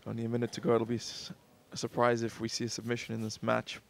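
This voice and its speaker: A male color commentator giving quick analysis of a live grappling match. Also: mid-range voice, completely flat delivery, gravelly, noisy background.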